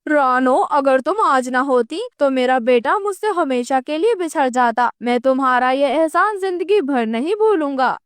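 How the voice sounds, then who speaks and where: A woman acts out a protective father donkey from a cartoon fable. The voice sits very high, earnest and anxious, recorded dry. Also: animated, measured pace, few pauses, dry recording. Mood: grateful. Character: worried, grateful father donkey, widowed and protective. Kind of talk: Hindi children's cartoon story dialogue.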